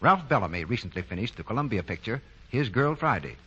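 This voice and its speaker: A man's voice: fairly low pitch, sing-song, fast, bright, forceful tone, breathy edge, muffled recording. An American man reading scripted commercials and credits as a 1940s radio announcer. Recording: noisy, band-limited 1940 radio broadcast.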